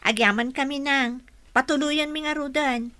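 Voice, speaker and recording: An actress with an Ilocano accent playing a shy, respectful young provincial employee in a radio drama. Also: female voice, high-pitched, fairly even intonation, normal speed. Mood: polite, shy. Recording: loud noise behind the voice.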